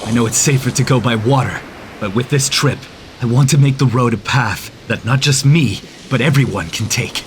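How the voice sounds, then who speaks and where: A man's voice: mid-range voice, normal speed, noisy background, natural voice. Man doing brave young hero, earnest, proud, mid-range voice, cartoon tale. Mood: determined. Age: young adult.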